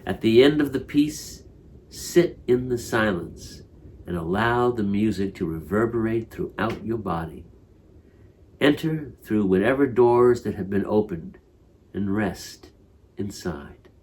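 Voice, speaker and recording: A man with a fairly low voice reads a spiritual commentary aloud. His delivery is measured and reflective, taking each phrase with care. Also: expressive, darkish tone, a little hiss or hum. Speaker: reader of Torah commentary. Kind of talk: reading a spiritual text aloud.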